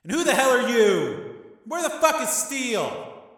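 A man voicing a hot-headed, foul-mouthed gangster's son in an audiobook, suspicious, menacing and yelling. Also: big pitch swings, measured pace, heavy reverb, in a large hall, full character voice. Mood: enraged. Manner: yelling, taunting, high-strung.

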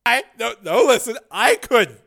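A flustered young man on the run who stumbles over every refusal, his voice pushed high and tight, as a male narrator puts him on. Bright and jumpy, he never gets a sentence out cleanly. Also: animated, unhurried, vocal fry, exaggerated voice. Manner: stammering, nervous, pitched high.

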